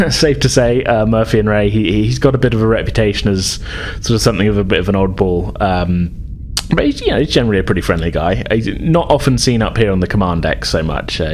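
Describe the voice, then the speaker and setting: Low-voiced male game master, noisy recording. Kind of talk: narrating a tabletop role-playing game.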